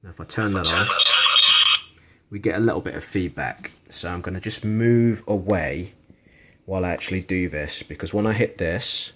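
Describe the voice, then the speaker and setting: A man's voice: sits low, normal speed, noisy background. A man giving a casual, frankly critical product review and demonstration indoors in a conversational way. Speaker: gadget reviewer. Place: indoors in a kitchen.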